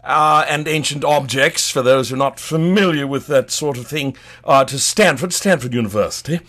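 A man playing a pompous, eccentric antiquities scholar, easily thrilled, with a halting, stammering, digressive delivery in a comedy lecture. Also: mid-range voice, fairly bright tone, close to an everyday voice. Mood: genteel, pompous. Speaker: guest. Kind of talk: improvised-sounding comic lecture in character.

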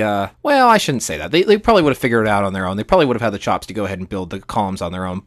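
Guy podcast host, kinda low voice but really animated, explainer style, very dry sound. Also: dry recording. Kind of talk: conversational history explainer.

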